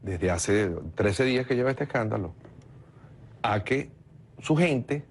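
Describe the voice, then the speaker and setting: A man's voice: medium pitch, expressive, slow pace, slightly rough. Man, political consultant, insistent, argumentative, TV interview guest. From Venezuela. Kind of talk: heated TV interview.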